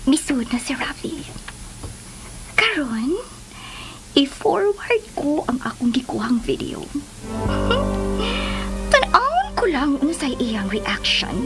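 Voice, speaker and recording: A woman's voice: high-pitched, big pitch swings, takes it slowly, long pauses, exaggerated voice, rough recording. A woman acting in a radio drama as a scheming, gleeful spy who mutters and exclaims to herself in a melodramatic way. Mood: sly. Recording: studio radio drama over music.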